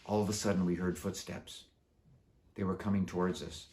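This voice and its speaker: A man who is an author reading his children's book aloud in an animated storytelling style. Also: low voice, moderate pace.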